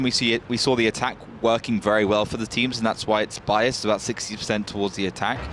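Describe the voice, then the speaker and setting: A man giving calm, analytical esports match commentary, often pausing on fillers. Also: medium pitch, a little hiss or hum. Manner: measured, analytical, frequent fillers.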